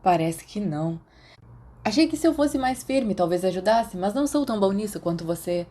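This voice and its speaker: A good-humored, bossy teenage schoolboy with a Brazilian accent, voiced by a woman for an audiobook. He sounds casual, teasing and a little tipsy, in a mellow, soft-toned voice. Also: mid-range voice, animated, moderate pace, natural voice. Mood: mildly exasperated. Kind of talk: audiobook character dialogue.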